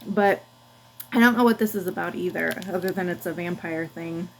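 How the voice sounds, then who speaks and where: A woman's voice: on the low side, normal speed, vocal fry, a little hiss or hum, rough recording. A woman book vlogger chatting casually to camera in informal, slangy language.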